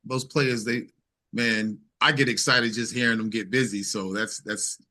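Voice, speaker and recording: A man's voice: on the low side, fairly even intonation, unhurried, pushed and bright. A man speaking casually and colloquially as a singer-songwriter being interviewed.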